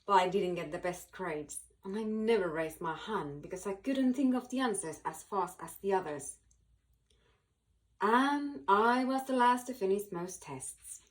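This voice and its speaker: Expressive woman reading a children's story. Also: mid-range voice, rough recording. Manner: animated, dramatic pauses and emphasis. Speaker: volunteer children's book reader.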